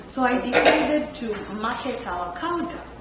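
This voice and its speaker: Lively woman in an echoey room. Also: on the high side, unhurried. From Kenya. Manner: animated, laughs often while telling stories. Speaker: entrepreneur on a panel. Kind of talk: storytelling at a panel talk. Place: event room. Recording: echoey room, picked up from far, background noise.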